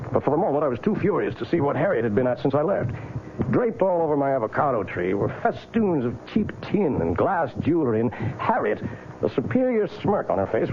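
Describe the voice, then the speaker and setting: An actor playing a brooding, obsessive widower with a short temper and guilty conscience, in an intense, confessional old-time radio style. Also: male voice, a little high, noisy background. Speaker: narrator and lead character. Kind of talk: old-time radio drama acting and first-person narration. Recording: muffled vintage radio recording, loud music behind.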